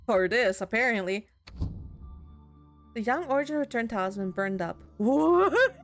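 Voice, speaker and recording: High-pitched woman reading a horror game aloud. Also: lively intonation, a little slow, soft background music. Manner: reactive, exclaiming, casual swearing. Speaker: streamer playing and reading aloud a visual novel. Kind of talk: expressive read-aloud narration with casual commentary.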